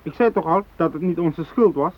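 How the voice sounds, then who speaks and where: An actor in a radio drama playing a fanatical, unyielding hijacker who speaks in cold, threatening declarations. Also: male voice, on the high side, a little fast, close to an everyday voice. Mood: hard, threatening. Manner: declarative, threatening, ideological speechmaking. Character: fanatical South Moluccan hijacker, unyielding, cold, righteous militant. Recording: thin phone-like radio drama recording.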